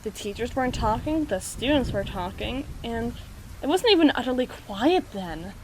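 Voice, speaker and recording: A woman playing a proud, anxious, flustered schoolgirl in an ASMR audio roleplay, speaking in halting, formal phrases. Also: fairly high pitch, expressive, slight background noise, lightly acted, rough recording. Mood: uneasy recollection. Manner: halting, prim, formal phrasing with trailing restarts.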